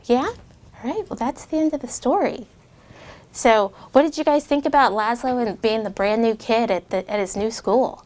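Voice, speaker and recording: A middle-aged woman reading a rhyming children's book aloud to a class of schoolchildren, pausing to ask the kids questions. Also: a little high. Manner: expressive rhyming read-aloud with asides to kids. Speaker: guest reader and parent.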